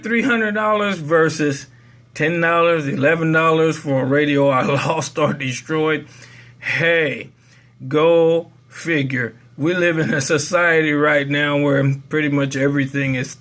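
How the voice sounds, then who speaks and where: A man's voice: a little high, a bit bright. A man hosting a casual how-to demonstration in a folksy, rambling way. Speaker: tutorial video host.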